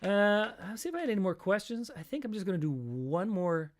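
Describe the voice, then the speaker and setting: A middle-aged man with a raspy, practice-tired voice, hosting a live stream and chatting in a rambling, self-deprecating way between songs. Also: sits high, animated, a little fast.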